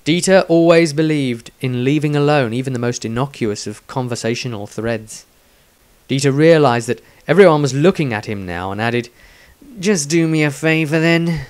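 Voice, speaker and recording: A man reading his own fantasy story aloud as scripted narration. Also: medium pitch.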